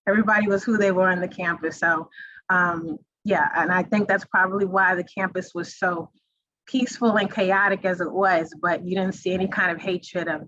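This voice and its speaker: A woman's voice: lowish voice, fairly even intonation, moderate pace, a bit bright, no room sound. Woman panelist rambling casually, muffled dry sound. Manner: chatty, many fillers like um and you know. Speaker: alumni panelist. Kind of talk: panel discussion. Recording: dull dry lo-fi audio.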